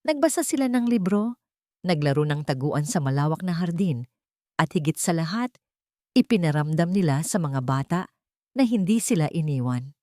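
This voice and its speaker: A Filipino-accented woman narrates a scripted drama. Her voice sits a little low and moves in lively swings, with a theatrical storyteller's delivery. The recording is very dry. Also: normal speed, no room sound. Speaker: audiobook narrator.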